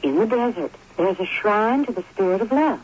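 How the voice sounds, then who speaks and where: An actress playing an earnest, insistent believer in an old radio drama. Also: female voice, mid-range voice, expressive, normal speed, a little mellow, slightly rough, dull audio. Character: earnest believer in a desert love legend.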